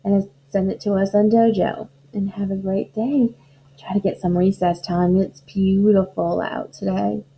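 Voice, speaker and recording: Female primary teacher, slow and deliberate storybook delivery, slightly dull recording with faint noise. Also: mid-range voice, flat delivery, gravelly. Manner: slow, simple, deliberate phrasing addressed to children. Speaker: elementary school teacher. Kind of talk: children's storybook read-aloud and instructions.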